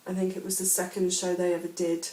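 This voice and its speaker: A woman with a heavy cold hosts a relaxed craft podcast, sniffly and a little vague, wandering through her points with ums and false starts. Her voice sits fairly low. She is a step back from the mic in a room that echoes around her. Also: fast talker, far from the mic. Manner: rambling, hesitant, full of ums and restarts. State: has a bad cold, sniffly. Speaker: podcast host. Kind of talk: casual chatty craft podcast. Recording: roomy echo, speaking away from the mic.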